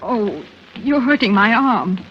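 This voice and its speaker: Plucky young heroine, determined, medium pitch, vintage radio drama, dull recording. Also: female voice, sing-song, slow pace, slight background noise. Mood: frightened. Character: determined young woman posing as innocent dance-hall patron. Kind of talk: 1940s radio drama acting.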